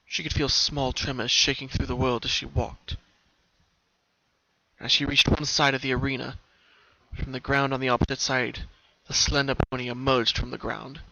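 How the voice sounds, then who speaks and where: Male storyteller, bright and earnest dramatic delivery, home-grade muffled recording with background noise. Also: mid-range voice, little pitch movement, normal speed, vocal fry. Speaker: narrator. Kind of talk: dramatic scripted story narration.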